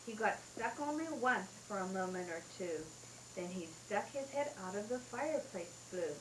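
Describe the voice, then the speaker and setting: A woman's voice: medium pitch, expressive, normal speed, roomy sound, background noise. A mother reading a rhyming bedtime story aloud in a sing-song way, taped at home.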